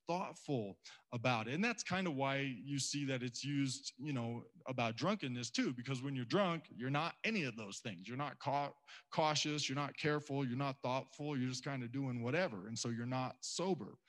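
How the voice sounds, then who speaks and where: A man's voice: mid-range voice, a bit bright. A man preaching a sermon to a church congregation in a casual, conversational way. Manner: conversational, acts out quoted voices. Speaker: pastor.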